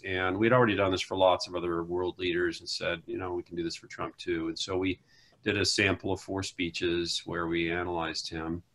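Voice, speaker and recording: Deep-voiced American academic explaining. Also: male voice, a bit bright. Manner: thoughtful, explanatory, with "you know" asides. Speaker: political scientist, co-author. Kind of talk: casual academic conversation. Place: home room.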